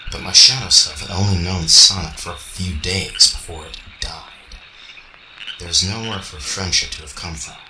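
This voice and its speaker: An actor playing a brooding, logical robot in an amateur radio drama, speaking in a measured, formal, introspective way. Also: male voice, low-pitched, pushed and bright. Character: brooding, logical young male robot, puzzled by feelings. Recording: echoey, faintly noisy home recording.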